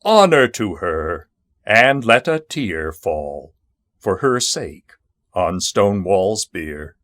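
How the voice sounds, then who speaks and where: Slow, low-voiced man reciting poetry. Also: big pitch swings, a bit bright, no room sound, studio quality. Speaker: poetry reader.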